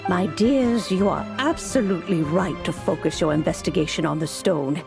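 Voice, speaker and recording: A woman's voice: lowish voice, vocal fry, over music. A serene old grandmotherly mentor. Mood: serene fond. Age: older. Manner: gentle, unhurried, softly laughing. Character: elderly wise grandmotherly mentor, serene and fond. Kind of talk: video game cutscene voice acting.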